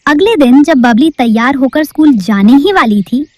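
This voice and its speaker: A woman's voice: sits high, expressive, normal speed, no room sound. A woman narrating a cartoon story in a storybook-telling manner.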